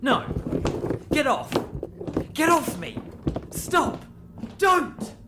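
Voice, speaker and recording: A man's voice: very high voice, big pitch swings, very slow, background noise, heavily acted. An actor playing a defiant, frightened man in an audio drama, blunt and agitated. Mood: panicked.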